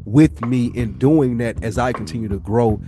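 A man's voice: medium pitch, moderate pace. A man hosting a podcast, talking casually and reflectively in an earnest, slangy, rambling way. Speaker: podcast host.

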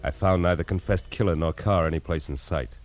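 An actor playing a hard-boiled, wisecracking private detective in 1940s radio style, with a sardonic, clipped, slangy delivery. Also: male voice, low voice, a little fast, a little mellow, no echo, lightly acted. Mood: grim narration. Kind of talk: 1940s radio acting with first-person noir narration. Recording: old radio recording with music.

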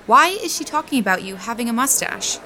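A woman's voice: fairly high pitch, animated, a little fast, bright, forceful tone. An actress playing a frank, exasperated young woman in an audio drama, speaking bluntly to her quarrelling friends. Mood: puzzled, frank. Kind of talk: naturalistic audio drama dialogue.

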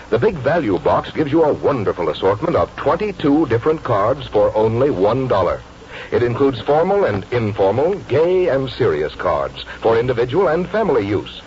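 A man's voice: medium pitch, a little mellow. An American man announcing and reading a scripted commercial in 1940s radio style. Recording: dull, faintly noisy 1940s radio recording.

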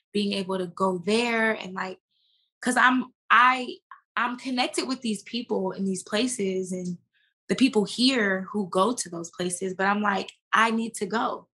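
A woman guest talking casually and informally in an interview, slangy and animated as she tells stories and quotes herself. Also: medium pitch, measured pace. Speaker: podcast guest, actress and business owner.

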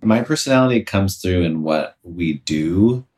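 A man's voice: sits low, animated, measured pace, darkish tone. A man in his late twenties, a comedian guest on a podcast, talking casually in a loose, playful, jokey way. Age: late 20s.

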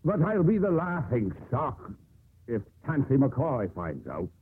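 A man's voice: medium pitch, wildly swooping pitch, unhurried, slight background noise. An actor playing a blustery, fond older sea captain and father in a 1950s radio drama, sputtering and emphatic. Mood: flustered protest. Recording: muffled, narrow-band old radio broadcast.

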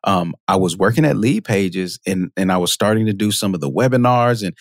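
Casting: low, gravelly male guest, animated storyteller, dry close mic. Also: a little fast, dry recording. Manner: lively storyteller, jumps high for quoted reactions, laughs often. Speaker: podcast guest, marketing automation expert. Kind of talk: casual interview storytelling.

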